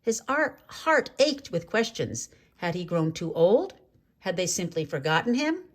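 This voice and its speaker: Expressive woman reading a children's story. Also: on the low side, a little slow. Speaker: storybook reader. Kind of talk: expressive read-aloud children's story narration.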